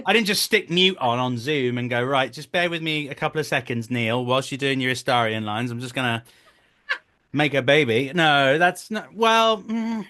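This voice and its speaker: A man's voice: mid-range voice, normal speed, bright, forceful tone. A man in his thirties talking casually as an interview guest, telling jokey, self-deprecating anecdotes as a director. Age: 30s.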